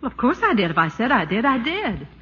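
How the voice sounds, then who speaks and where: A woman's voice: a little high, huge pitch swings, fast talker, bright, forceful tone, slightly rough, heavily acted. An American woman playing a wry, teasing, exasperated suburban wife in a 1940s radio comedy-drama. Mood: wry, puzzled. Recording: dull vintage 1940s radio recording.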